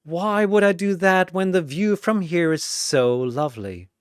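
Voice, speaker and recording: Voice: medium pitch, animated, unhurried, clear, resonant tone, dry recording, clean studio sound, pitched above the performer's own voice, close to an everyday voice. A narrator voicing a suave, teasing male vampire rogue with a silky, amused, flirtatious manner in an audio story. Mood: amused admiration.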